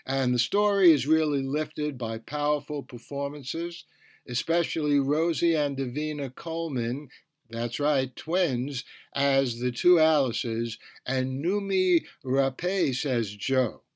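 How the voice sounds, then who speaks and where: Slow-paced man reviewing on a podcast. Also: medium pitch, no room sound. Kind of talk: scripted review narration.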